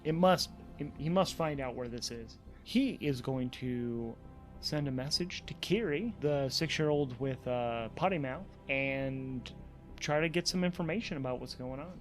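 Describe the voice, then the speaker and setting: A man narrating a solo tabletop role-playing game as game master, improvising haltingly and often repeating words. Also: mid-range voice, faint music behind.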